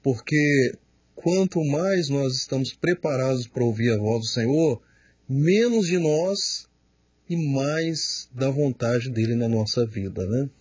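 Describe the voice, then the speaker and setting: A man, a pastor, answering questions in a studio, slow and emphatic, often repeating words as he explains. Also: mid-range voice, expressive, darkish tone, slightly rough, dry recording. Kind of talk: studio Q&A teaching.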